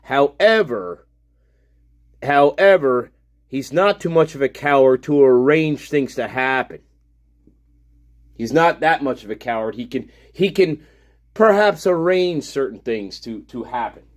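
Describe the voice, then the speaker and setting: Brief: male streamer, profane heated rant, slow deliberate delivery. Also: mid-range voice, expressive. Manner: profane, heated, repeats himself. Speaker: livestream host. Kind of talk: ranting commentary on a played video.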